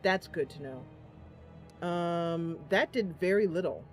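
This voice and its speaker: A woman's voice: on the low side, slow, faint music behind. A woman giving casual, chatty let's play commentary, thinking aloud and reacting as she plays. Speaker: gaming channel host.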